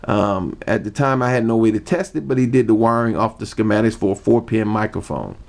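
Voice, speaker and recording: A man giving an informal how-to demonstration, explaining step by step in a halting manner. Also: on the low side, vocal fry, darkish tone. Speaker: hobbyist demonstrator. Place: indoor room.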